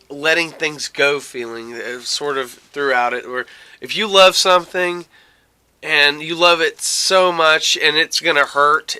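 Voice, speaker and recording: A man with a bright, smooth voice, pitched a little high, chats casually as a podcast host. He rambles haltingly, circling back over phrases as he searches for the right words.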